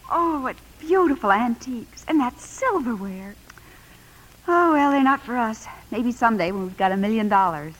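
A woman's voice: on the high side, animated, normal speed, fairly bright tone, low-quality recording. An actress playing a loving, worried young wife in a 1950s radio drama, earnest and probing with her husband. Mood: bright, eager. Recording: 1950s radio broadcast, faint noise.

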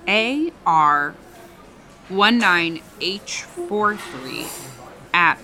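A woman's voice: on the low side, animated, extremely slow, sharp tone, soft background music, exaggerated voice. An actress playing a brash, flippant young woman, a hired thief, in an audio drama with a sarcastic, casual manner. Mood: casual, grudging. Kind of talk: naturalistic audio drama dialogue.

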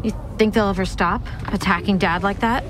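An actress playing a magnate's bewildered, defensive daughter in a naturalistic audio drama. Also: female voice, medium pitch, fairly even intonation, brisk, music in the background, natural voice.

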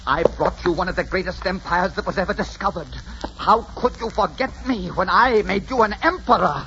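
An actor playing a proud, embittered old Spanish conquistador in theatrical 1940s radio style. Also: male voice, high-pitched, normal speed, creaky, pushed and bright, a little hiss or hum, exaggerated voice. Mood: desperate, indignant. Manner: impassioned, theatrical. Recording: muffled 1940s radio recording, music behind.

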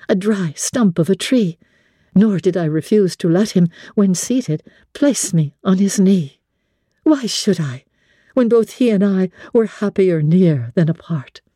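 A woman reading classic literature as a dramatic scripted narration, in a measured, expressive, literary manner. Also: on the low side, no echo, clean studio sound. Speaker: audiobook narrator.

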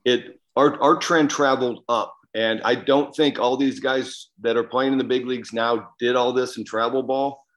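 A man's voice: medium pitch, fairly even intonation, moderate pace, hardly any pauses, a bit bright, poor audio. Blunt man co-hosting a casual sports podcast. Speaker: podcast co-host, former player.

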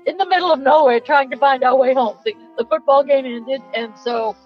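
An older woman with a Boston accent, calling in by phone from her car, talking in a halting, giggly way. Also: high voice. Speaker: host's mother, phone-in caller. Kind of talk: casual phone call. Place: in a car, driving. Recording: muffled phone line with faint music.

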